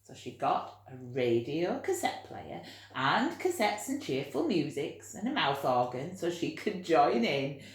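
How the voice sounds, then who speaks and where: A woman teacher reading a storybook to children, expressive, breaking off to put questions straight to her young listeners. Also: low-pitched, measured pace, hardly any pauses, echoey room. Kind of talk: animated children's storytelling with friendly asides.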